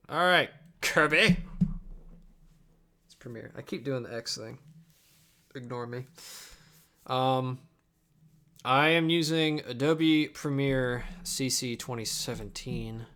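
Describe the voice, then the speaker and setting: A man's voice: medium pitch, unhurried, long pauses, bright, forceful tone. A young man chatting casually and offhandedly on a livestream while he edits video at his computer, sometimes muttering to himself. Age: young adult. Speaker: live streamer and video editor. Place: indoors at a home computer desk. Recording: clicks and keyboard noise behind the voice. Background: mouse clicks, typing.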